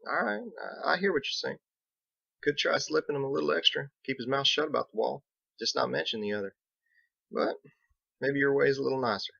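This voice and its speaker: A man's voice: mid-range voice, fairly quick, creaky, bright, forceful tone, dry recording, dull audio, pitched above the performer's own voice, heavily acted. A man voicing a folksy, blunt young small-town sheriff with a drawl in a storybook reading. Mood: skeptical.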